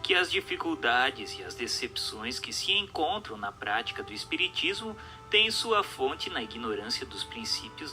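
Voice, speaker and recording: A man reading scripted narration in a formal, measured, didactic way. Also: mid-range voice. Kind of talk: scripted audiobook-style narration. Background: music.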